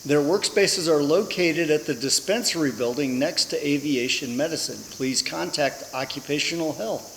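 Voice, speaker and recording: A man's voice: fairly high pitch, normal speed, hardly any pauses, a bit bright, in a large hall, a little hiss or hum, low-quality recording. A man co-hosting a military base show, reading announcements with upbeat, joking asides. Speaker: senior enlisted co-host.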